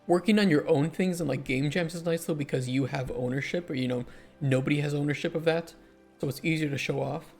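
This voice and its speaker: A man who develops indie games, chatting casually on a livestream and thinking aloud as he answers chat, his voice hoarse after hours of streaming. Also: on the high side, fairly quick, a little mellow, faint music behind.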